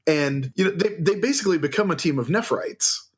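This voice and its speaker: A man's voice: fairly high pitch, lively intonation, brisk, slightly rough. A man co-hosting a podcast, chatting casually and telling stories with wry enthusiasm. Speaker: podcast co-host. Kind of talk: casual chat.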